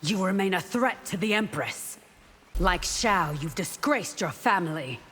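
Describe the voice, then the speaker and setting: A woman playing a proud, devout and fierce bodyguard warrior in a video game, speaking in a formal, defiant, measured way. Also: lowish voice, lively intonation, fairly bright tone. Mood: defiant, stern. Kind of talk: video game character acting. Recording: long reverb, faint noise behind.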